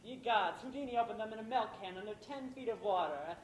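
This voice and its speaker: A man's voice: fast, close to an everyday voice. A man playing a smug, scheming middle-aged playwright husband in a live stage play, with theatrical, sardonic delivery. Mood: mocking. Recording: echoey amateur stage recording, background rumble.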